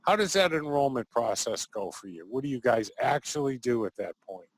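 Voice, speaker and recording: A man hosting a casual interview, chatty and quick to laugh, often ending thoughts with a questioning "right?". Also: medium pitch, expressive. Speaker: interview host.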